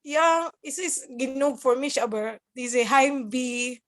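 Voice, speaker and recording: A woman's voice: high voice, takes it slowly, a bit bright. A woman from the Philippines with a Filipino accent, a nurse being interviewed, who halts to search for words and laughs often. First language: Cebuano. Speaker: guest, nurse working abroad. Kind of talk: casual interview chat mixing German and English.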